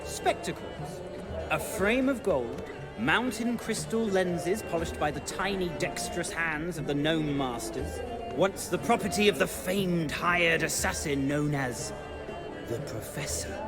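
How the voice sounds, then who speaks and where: An actor playing a genteel, excitable auctioneer in a video game, with showy, effusive, formal patter. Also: male voice, on the high side, a little slow, over music. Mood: reverent, enticing.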